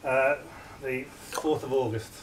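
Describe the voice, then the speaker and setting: A man's voice: mid-range voice, fairly even intonation, slow pace. A man taking part in a pub quiz as a contestant, chatting in casual banter. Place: social club room with other players. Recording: distant mic, noisy group laughter.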